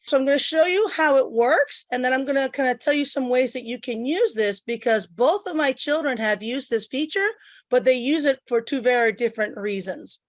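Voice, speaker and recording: Middle-aged woman from puerto rico, teacher demo at her computer, steady step by step, higher voice. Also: moderate pace, dry recording. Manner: step-by-step, explains while clicking. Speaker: teacher giving a tech demo. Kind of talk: instructional screen demo. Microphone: computer microphone.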